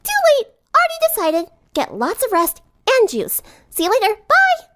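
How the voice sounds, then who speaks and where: A woman's voice: very high voice, huge pitch swings, moderate pace, fairly bright tone, pitched above the performer's own voice, heavily acted. A woman voicing a bubbly, breezy, hyperactive teenage cartoon girl with a chirpy, emphatic delivery. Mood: cheerful.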